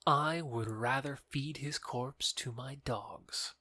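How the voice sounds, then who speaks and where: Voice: medium pitch, slow pace, sharp tone, no echo, clean studio sound. An actor playing a lovesick, bitter young man rejected by his beloved, earnest and wounded, in an audio drama. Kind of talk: theatrical audio drama acting.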